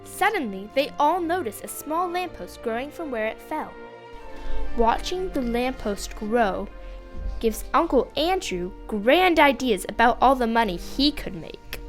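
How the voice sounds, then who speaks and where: A young female narrator reading a scripted fantasy story aloud with expressive storybook delivery. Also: a little high.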